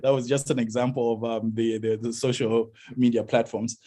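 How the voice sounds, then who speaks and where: A South African man answers questions in an academic video call. His voice is slightly husky, and he explains hesitantly, often repeating words as he works out his point. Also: medium pitch, flat delivery, normal speed, mellow.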